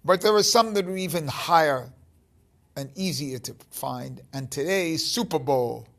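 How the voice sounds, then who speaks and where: A man in his fifties giving an informal spiritual talk to his phone, halting and rambling, with emphatic stresses and a gravelly voice. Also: a little high, fairly bright tone. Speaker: spiritual teacher. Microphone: phone held close at arm's length.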